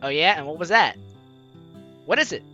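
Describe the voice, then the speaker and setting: A man's voice: on the high side, normal speed, dull audio. A young man hosting a let's play, casually commenting and reading game dialogue aloud in a playful way. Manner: animated, playful. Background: game music.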